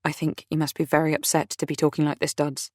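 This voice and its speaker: A woman's voice: fairly low pitch, no echo, studio quality, lightly acted. A woman voicing a patient friend who speaks carefully and holds back her temper. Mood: restrained. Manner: measured, restrained.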